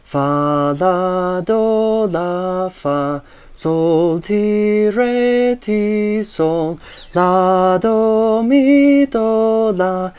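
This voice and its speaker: A man with a slightly raspy yet resonant voice teaches ear training. He talks through exercises in a relaxed, practical manner and breaks into sung solfege drills. Faint music sits low behind him. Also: extremely slow. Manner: sings scales and intervals in solfege between explanations.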